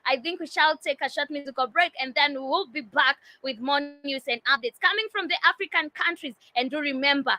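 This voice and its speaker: A woman hosting a news show, reading and commenting on stories with many fillers and restarts, her voice getting raspy. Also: high voice, fairly quick, hardly any pauses, bright, forceful tone. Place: indoors while it rains outside.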